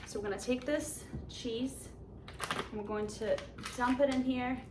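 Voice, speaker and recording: A woman with a Polish accent hosts a home cooking demonstration, walking through each step with chatty enthusiasm. Her voice sits a little high. The recording is echoey, with clear background noise from the kitchen around her. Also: fairly even intonation, a little slow. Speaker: cooking show host.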